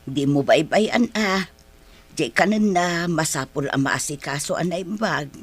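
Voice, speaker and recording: An actress playing a weary, long-suffering older mother in a radio drama, husky and exasperated. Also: low-pitched, unhurried. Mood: resigned warning.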